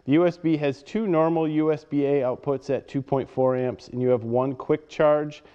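A man's voice: on the high side, fairly even intonation, moderate pace, mellow, smooth. Man demonstrating a product in a reverberant workshop. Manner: plain, matter-of-fact explaining. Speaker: product reviewer. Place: workshop with a workbench. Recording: dull sound with long reverb.

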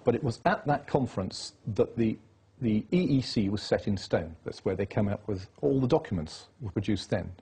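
Husky-voiced man lecturing insistently. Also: on the low side, expressive, normal speed, warm tone. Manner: insistent, lecturing, piles up dates and facts. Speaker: interview guest. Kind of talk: political interview.

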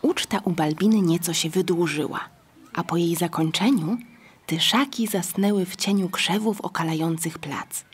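Husky, breathy woman narrator. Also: lowish voice, moderate pace. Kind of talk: scripted children's story narration.

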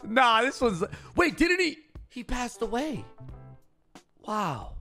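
An excitable young man talks in casual slang on a stream. His voice is very high and lively, with swearing thrown in, recorded close up over faint music. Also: slow. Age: young adult. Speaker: streamer reacting to football clips. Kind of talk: casual live-stream reaction. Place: small indoor streaming room. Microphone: close microphone on boom arm, with headset.

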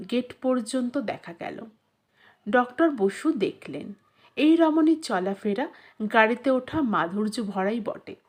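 A woman narrating a literary story in an expressive, dramatic and measured storytelling manner. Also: fairly high pitch.

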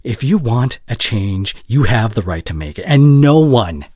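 Guy acting as a breathy young boyfriend in an audio roleplay, heartbroken but caring, halting, real close dry mic. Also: mid-range voice, very animated, darkish tone, dry recording. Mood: gentle, supportive. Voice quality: breathy, often dropping to near whisper. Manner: halting, earnest, pausing mid-sentence. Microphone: close studio condenser mic.

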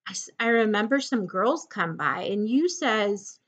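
A woman voicing a big, childlike drifter man from a novel, timid and eager to please, in simple halting rustic speech. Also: medium pitch, animated. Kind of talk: audiobook-style character reading of a novel.

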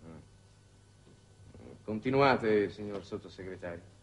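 An actor playing a grave, authoritative foreign minister with formal, measured oratory in a 1960s Italian television drama. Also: male voice, fairly low pitch, a little hiss or hum. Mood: probing. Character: grave, authoritative foreign minister chairing a space war council. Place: television studio set. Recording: dated 1960s television soundtrack.

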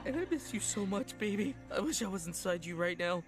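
Panicky cartoon alien castaway, melodramatic, whiny, swinging pitch, loud music. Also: male voice, animated, a little fast. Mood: longing. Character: panicky, pathetic stranded male alien castaway.

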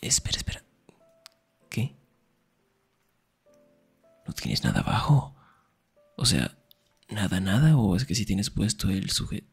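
A young man performing an intimate ASMR roleplay as a teasing, affectionate best friend, murmuring in a breathy, husky whisper. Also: on the low side, very animated, slow pace, pauses often, faint music behind.

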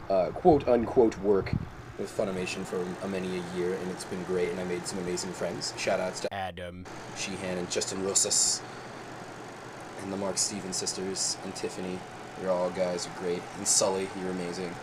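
Excitable deep-voiced man vlogging. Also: noisy background. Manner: excitable, rambling, jumps into sudden high squeals. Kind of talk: casual show-and-tell vlog.

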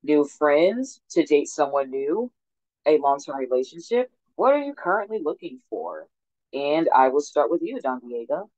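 A woman's voice: deep voice, sing-song, moderate pace, darkish tone, dry recording, poor audio. A woman moderating a singles show, calling on guests and posing questions to the group. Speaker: host of a singles dating show. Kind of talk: upbeat group video-call moderating, reading questions.

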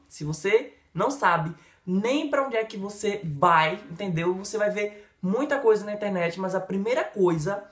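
A Brazilian man in his thirties with a Brazilian Portuguese accent, an English teacher giving an emphatic, energetic lesson straight to the camera. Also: high voice, roomy sound. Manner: lively, emphatic, talks straight to the viewer with many gestures. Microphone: camera in front, head and shoulders framing.

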